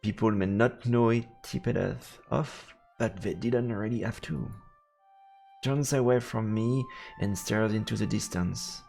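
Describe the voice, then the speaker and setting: A low-pitched man narrates a visual novel as he plays it on stream. His voice has a creaky edge, and music plays softly behind him. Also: unhurried. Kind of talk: narrated read-aloud with casual reactions.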